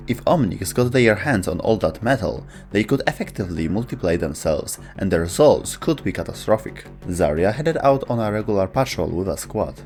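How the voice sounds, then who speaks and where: A man narrating a scripted video essay about game lore. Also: low-pitched. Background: music.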